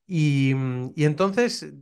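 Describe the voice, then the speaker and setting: A man's voice: fairly high pitch, very slow, darkish tone, smooth. A man in his thirties from Spain with a Castilian accent, a priest telling his faith story with earnest fervor. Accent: Castilian Spanish. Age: 30s. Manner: earnest, fervent storytelling, acts out his own past doubts. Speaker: priest, podcast guest. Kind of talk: personal testimony in conversation.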